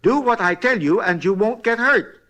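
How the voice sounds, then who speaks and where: An actor in a 1950s radio drama playing a talkative, folksy hotel night clerk who rambles in a rustic, ungrammatical drawl. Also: male voice, sits high, animated, sharp tone. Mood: chatty, earnest. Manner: rambling, folksy, unhurried storytelling. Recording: vintage 1950s radio recording.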